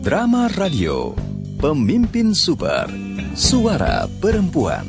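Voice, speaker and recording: A man's voice: fairly high pitch, huge pitch swings, slow pace. A man announcing a radio programme in a scripted style. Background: loud guitar music.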